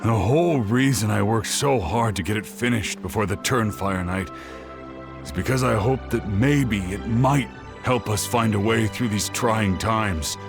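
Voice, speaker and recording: An earnest, excitable scholar. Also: male voice, lowish voice, vocal fry. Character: earnest young male scholar, eager and anxious. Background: game music.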